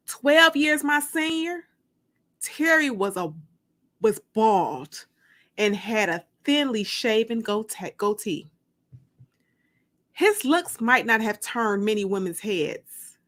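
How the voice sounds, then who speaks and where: A woman hosting a livestream as an author, chatting and reading dramatically from a book with drawn-out, emphatic delivery. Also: fairly high pitch, fairly bright tone. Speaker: host and author.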